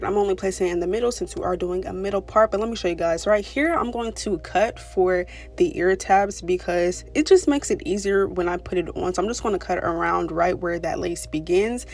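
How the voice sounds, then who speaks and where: A young woman with a raspy voice, talking casually through a hair tutorial voice-over, full of "you know" and "pretty much". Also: medium pitch. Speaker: beauty vlogger. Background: soft background music.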